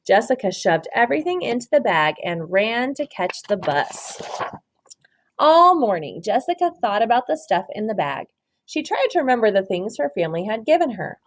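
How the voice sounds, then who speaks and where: Lady teacher doing storytime, very animated, asks the kids questions as she goes. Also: fairly high pitch, moderate pace. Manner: prompting, instructive, praising pupils. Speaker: kindergarten teacher. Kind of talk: picture book read-aloud with counting questions to young children.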